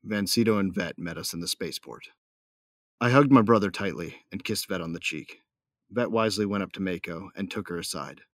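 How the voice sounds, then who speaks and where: A man's voice: sits low, a bit bright, no echo, studio quality. An actor playing a brooding, lovesick but determined young man, narrating his own story in an earnest, intimate audio drama style. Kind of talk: first-person audio drama narration with dialogue.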